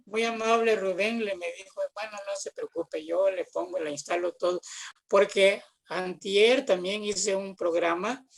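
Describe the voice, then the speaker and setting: A man's voice: high-pitched, a little slow, gravelly. An older man with a Mexican accent chatting casually in a rambling, repetitive way, telling anecdotes on a video call. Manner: rambling, repeats phrases. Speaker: guest, theatre artist.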